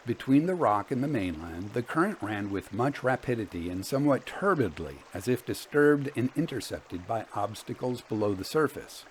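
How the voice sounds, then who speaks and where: Male storyteller for Victorian ghost tales, measured and deliberate, with lively rises and falls in pitch. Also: slight background noise. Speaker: host and reader of a ghost story podcast.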